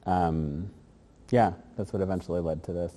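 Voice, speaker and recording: A man with a low, dark and mellow voice talks through his answers in a thoughtful, meandering way, often restarting his sentences. He is recorded in a gallery space where a long reverb hangs behind his words. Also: steady tone, normal speed, a little hiss or hum. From grew up in Germany, Korea and the United States. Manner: thoughtful, rambling with fillers and restarts. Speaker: interviewed artist. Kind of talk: casual interview answers.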